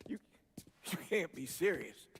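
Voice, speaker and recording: Weary Southern priest, morally stern and pleading, higher-set voice, for a dramatic video game. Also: male voice, sing-song, gravelly, a bit bright, slight background noise, heavily acted. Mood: indignant. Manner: earnest, halting, repeats words when pleading.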